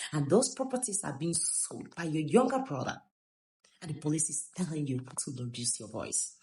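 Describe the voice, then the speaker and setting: A woman from Gambia giving casual, preachy commentary on a video clip, with chuckling asides. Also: low voice.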